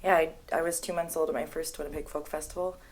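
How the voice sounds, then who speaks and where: Low-voiced young woman, chatty. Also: fast talker, crowd noise. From Canada. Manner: chatty, animated storytelling with laughs. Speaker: singer-songwriter. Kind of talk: casual interview.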